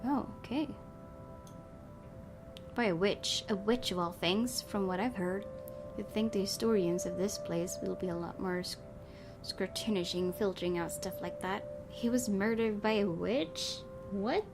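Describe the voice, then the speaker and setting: A woman's voice: mid-range voice, unhurried. A woman hosting a let's play, reading a horror visual novel aloud and adding casual commentary. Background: music.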